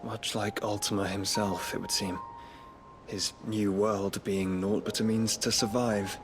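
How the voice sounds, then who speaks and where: An actor playing an earnest, noble younger brother in a dramatic video game, speaking formally and solemnly, with music behind him. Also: male voice, fairly low pitch, little pitch movement, a little slow, natural voice.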